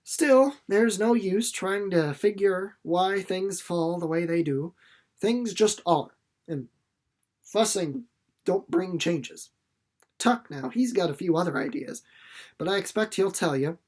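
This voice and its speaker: A man's voice: high-pitched, animated, slow, a little mellow, full character voice. A man voicing a plain-spoken, motherly countrywoman with rustic, homespun phrasing, as part of a storybook reading. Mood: resigned, musing. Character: plain-spoken, folksy, motherly countrywoman, resigned yet bustling.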